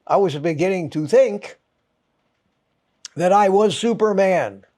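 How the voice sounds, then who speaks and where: Man, channel host, ranting monologue, exasperated, emphatic, swears, mild head cold, slow delivery. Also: sits high, darkish tone. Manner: emphatic, exasperated, punchy short phrases with pauses.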